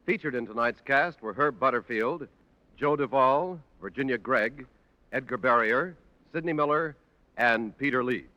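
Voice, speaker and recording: A man's voice: medium pitch, moderate pace, dry recording. A man with an American accent reading scripted announcements in a formal, polished 1950s radio style. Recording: muffled old radio broadcast, music behind.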